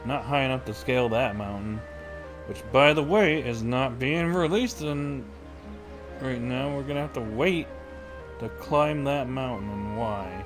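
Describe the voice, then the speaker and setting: Grumbling male streamer, mid-pitched. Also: unhurried. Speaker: game streamer. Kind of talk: casual livestream commentary. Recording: game music behind the voice.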